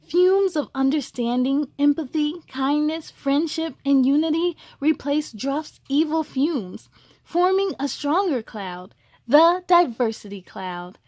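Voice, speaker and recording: A woman's voice: sits high, expressive, takes it slowly, a little mellow, no echo, clean studio sound. A woman hosting a storytime, reading a children's book aloud expressively with chatty, encouraging asides.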